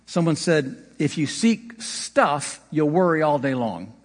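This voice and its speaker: A man's voice: a little high, expressive, unhurried. Man preaching in a reverberant hall. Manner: conversational preaching with slips and light laughs. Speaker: pastor. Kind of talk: sermon.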